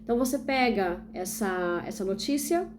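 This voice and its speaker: A woman's voice: medium pitch, animated, moderate pace, background noise. A young woman from Brazil, a teacher trainee, explaining a literacy activity step by step and asking and answering her own questions. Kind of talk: instructional demonstration video.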